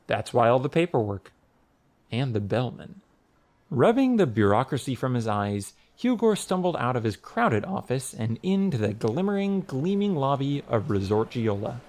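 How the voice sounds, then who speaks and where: Expressive male audiobook narrator with swooping pitch. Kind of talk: scripted story narration.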